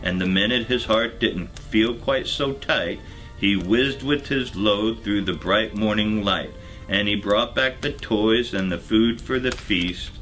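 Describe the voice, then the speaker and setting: Male storyteller, low and bright, unhurried, theatrical rhyming narration, music behind. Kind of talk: dramatic storybook narration in rhyme.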